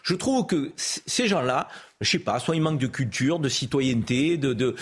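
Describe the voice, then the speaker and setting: Insistent male debater, slightly husky. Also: medium pitch, expressive, moderate pace. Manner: insistent, argumentative. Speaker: panel commentator. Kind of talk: heated studio debate.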